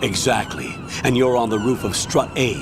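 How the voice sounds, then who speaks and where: An actor playing a stern, authoritative older military colonel in a video game, commanding, measured and formal as he gives a mission briefing. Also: medium pitch, wildly swooping pitch, noisy background. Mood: briefing, businesslike.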